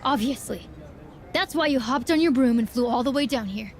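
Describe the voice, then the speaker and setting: High-voiced, proud sarcastic wrestler. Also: female voice, moderate pace, a loud crowd. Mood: defiant, sarcastic. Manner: sarcastic, blunt, firm. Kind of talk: video game cutscene acting.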